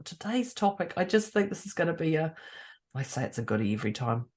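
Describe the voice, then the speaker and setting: Husky-voiced woman with a cold, laughing. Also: sits low, very animated, fast talker, darkish tone. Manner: laughs easily, playful. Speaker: podcast co-host. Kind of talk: casual chat.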